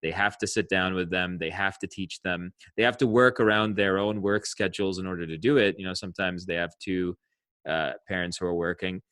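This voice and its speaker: A male interview host with a very low voice, recorded dry and close. He thinks out loud, circling through restarts before landing a long question. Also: fairly even intonation, fairly quick, no echo. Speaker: podcast host.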